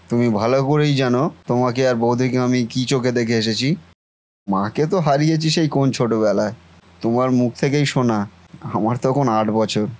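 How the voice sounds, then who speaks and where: A man's voice: medium pitch, darkish tone, natural voice. A man voicing a devoted, indignant brother in a cartoon family melodrama, speaking earnestly and declaiming.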